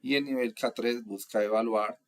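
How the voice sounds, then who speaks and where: A young adult man from Colombia, explaining course material in a steady, methodical lecture. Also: mid-range voice, moderate pace. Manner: steady, methodical, lists items one by one. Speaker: instructor.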